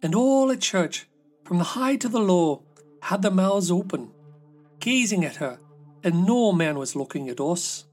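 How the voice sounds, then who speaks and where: A man's voice: high-pitched, lively intonation, measured pace, darkish tone, soft background music. A man voicing an envious, gossiping elder sister in a storybook fairy tale, grumbling along.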